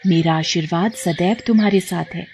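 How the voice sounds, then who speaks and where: A woman's voice: lowish voice, moderate pace, a little mellow, a little hiss or hum, lightly acted. A woman voicing a kind magical mother figure who gives blessings in a storybook tale, soothing and solemn. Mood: reassuring.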